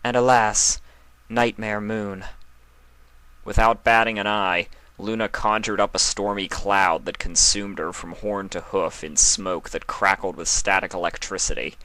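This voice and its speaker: A man reading fan fiction aloud as narrator, in an expressive storytelling style. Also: deep voice, moderate pace, hardly any pauses, dry recording.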